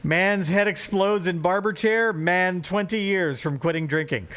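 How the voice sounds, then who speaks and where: A man's voice: sits high, takes it slowly, a bit bright. A middle-aged man chatting casually as a podcast co-host and reading out silly items in an amused, irreverent way. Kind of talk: casual phone chat, reading tabloid items aloud for laughs.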